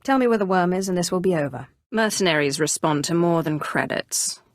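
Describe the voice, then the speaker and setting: A woman playing an imperious, calculating Sith sorcerer in a video game, with a measured, haughty and coolly threatening delivery. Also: lowish voice, lively intonation, no echo, studio quality, close to an everyday voice. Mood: dry, calculating. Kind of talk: scripted game character dialogue.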